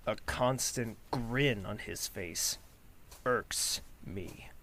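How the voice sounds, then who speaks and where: Audio drama lead: grave, guarded male leader, slow delivery, gravelly creak, ambient noise behind. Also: medium pitch. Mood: disgusted, wary. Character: brooding, guarded male leader who employs mercenaries. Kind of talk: dramatic first-person narration in audio drama.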